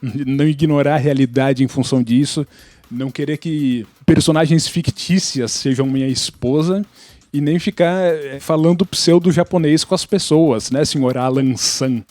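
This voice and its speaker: A man's voice: on the high side, normal speed, a little mellow, dry recording, faint music behind. A Brazilian man explaining things casually on a podcast, often checking in with tag questions. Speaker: podcast panelist.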